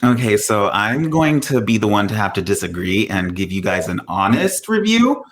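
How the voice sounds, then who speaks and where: Male podcast co-host, low-ish voice, slow and sardonic; echoey home room, faint noise. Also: big pitch swings. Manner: playfully sarcastic, mock-bitter. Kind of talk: casual chat.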